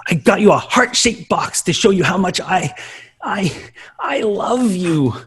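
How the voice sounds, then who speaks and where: A performer playing a clingy, lovesick, nerdy young boy with a husky voice and melodramatic delivery in a sitcom table read. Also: medium pitch, expressive, unhurried, fairly bright tone, heavily acted. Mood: smitten, nervous. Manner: melodramatic, swooping between pleading and wailing.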